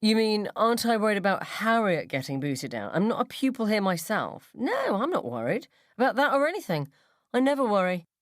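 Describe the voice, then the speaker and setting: A woman's voice: mid-range voice, big pitch swings, a little fast, no room sound, clean studio sound, pitched above the performer's own voice, close to an everyday voice. A woman voicing a sly, unworried school mum with a knowing, teasing way of talking in a radio story. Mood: sly, unbothered.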